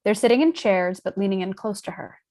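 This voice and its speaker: A woman's voice: medium pitch, lively intonation, a little fast, a little mellow. Woman reading screenplay stage directions. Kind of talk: scripted narration.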